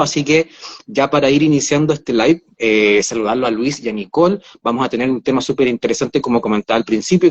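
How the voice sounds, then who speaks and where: A man's voice: mid-range voice, highs cut off. A Chilean man hosting a casual online livestream, talking with a lot of hesitation and fillers over a video call. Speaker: host.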